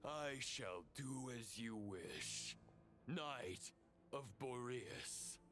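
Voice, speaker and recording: An actor playing a proud, scornful ancient knight in a video game, speaking in a grandiose, archaic and deliberate manner. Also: male voice, medium pitch, animated. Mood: challenging, grave. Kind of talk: dramatic video game voice acting. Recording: loud music under the voice. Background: loud music.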